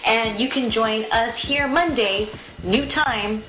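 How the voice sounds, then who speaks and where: A woman's voice: a little high, slow, a little hiss or hum. A woman host doing a bubbly, showy promo for her podcast. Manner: bubbly, showy, rhyming patter. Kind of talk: scripted promo. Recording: tinny echoey promo with music.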